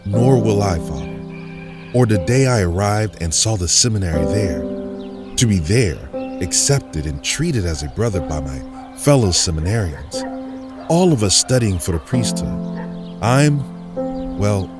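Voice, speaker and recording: A devout young American priest, speaks humbly and sincerely in a fairly low voice. His phrasing is halting and reverent, and loud music runs behind the audio drama. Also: animated. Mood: reflective, moved.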